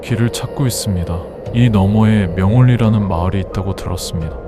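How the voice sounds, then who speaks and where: Guy acting determined shaman around thirty, low voice, terse and formal, noisy background, audio drama. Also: fairly quick, close to an everyday voice. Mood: resolute. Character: resolute thirty-year-old male shaman seeking his mother. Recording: noisy ambience behind the voice.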